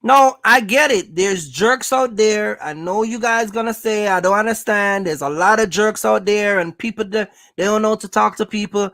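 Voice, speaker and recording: This is a host with a noticeably creaky voice, recorded dry with very little room sound. The delivery is casual, motivational advice straight to viewers. Points are stressed and repeated, with regular check-ins that the listener follows. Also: mid-range voice, moderate pace, hardly any pauses, fairly bright tone, no room sound. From Jamaica. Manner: emphatic, repetitive, checks in with listeners. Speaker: host, trucking business coach.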